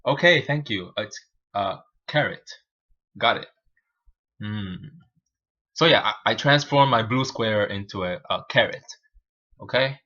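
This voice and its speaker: A native Spanish-speaking man teaches programming live, talking through each step informally and haltingly. His voice is fairly low yet bright and forceful. The livestream audio sounds somewhat muffled and lo-fi. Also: animated. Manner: halting, informal, self-narrating. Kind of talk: live coding tutorial, thinking aloud.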